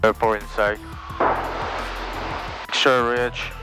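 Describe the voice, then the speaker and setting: A man's voice: medium pitch, flat delivery, extremely slow, over loud music. A male pilot calling out checklist items and short confirmations over a headset in a small plane cockpit. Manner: brief confirmations, thinking aloud. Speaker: pilot in training, channel host. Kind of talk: reading checklist items aloud.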